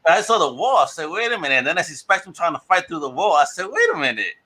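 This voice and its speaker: Bright-voiced podcast host, chatty man. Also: a little high, sing-song, quick pace. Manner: chatty, laughs often, enthusiastic. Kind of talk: casual podcast chat.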